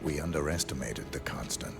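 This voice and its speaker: Stoic hitman, super low gravelly voice, barely emotes, few words, music behind. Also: brisk. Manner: laconic, restrained. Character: stoic, loyal male professional assassin. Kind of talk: cinematic video game acting.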